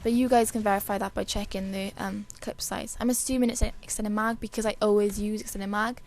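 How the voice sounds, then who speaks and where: A woman with a dry, hoarse voice giving casual, chatty and rambling commentary over a video game. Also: medium pitch, steady tone, brisk, a little mellow. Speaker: gaming video creator.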